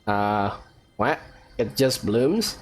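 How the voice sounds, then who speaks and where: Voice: medium pitch, animated, extremely slow. A gamer giving casual, reactive commentary on a playthrough, musing aloud in a hesitant way. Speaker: video game player commenting on a playthrough.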